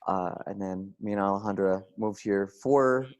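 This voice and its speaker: A man's voice: fairly low pitch, normal speed. A man guest in a casual podcast interview, speaking plainly and briefly. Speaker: guest dancer.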